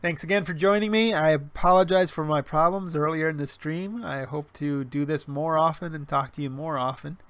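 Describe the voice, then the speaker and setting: A man in his early forties chats through a casual livestream from home, and his voice sits slightly high for a man. He rambles, restarts his sentences and fills pauses with ums, dropping in dry, self-deprecating jokes. It sounds like a webcam stream microphone. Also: fairly bright tone, dry recording. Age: 40s. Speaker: livestream host. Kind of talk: casual livestream chat reading chat. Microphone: webcam stream mic.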